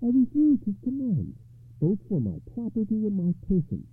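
A man doing a calm, wise enchanted lady. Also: high voice, husky, voice pushed up, exaggerated voice. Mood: gentle, poised. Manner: slow, raised and deliberate. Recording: dry phone-like muffled sound.

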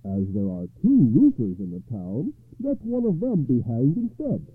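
A performer playing a solemn small-town judge in a comic folk tale, measured, weighty and pompous. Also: medium pitch, huge pitch swings, voice pushed up. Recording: thin phone-like sound.

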